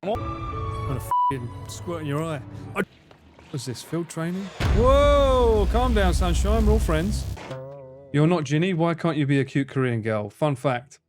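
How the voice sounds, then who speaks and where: A man's voice: fairly high pitch, sing-song, a little slow, over music. A man streaming live, chatting casually and crudely, laughing often.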